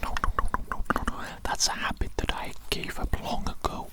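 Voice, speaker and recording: A performer voicing a creepy evil doctor in a whispered ASMR medical roleplay, breathy and theatrical, slowly repeating phrases and coaxing with pet names. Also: lightly acted. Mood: sinister, fussy. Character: creepy evil doctor, reformed man-eater, fussily obsessed with clean ears.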